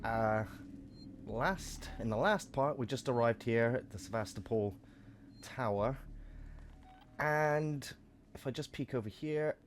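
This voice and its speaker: A man with a croaky voice from a cold, chatting through gameplay commentary with joking asides. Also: mid-range voice, slow, long pauses, slight background noise. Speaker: let's play host.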